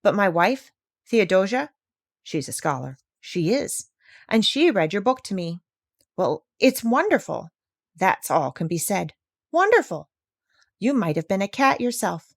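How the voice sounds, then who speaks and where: A woman's voice: mid-range voice, big pitch swings, a little slow, long pauses, no echo, clean studio sound. A woman playing a folksy, scheming tradesman with a colloquial, persuasive way of talking. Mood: eager, persuasive. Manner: colloquial, uneducated, salesman-like persuasion. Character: folksy, scheming tradesman, the cat's meat man.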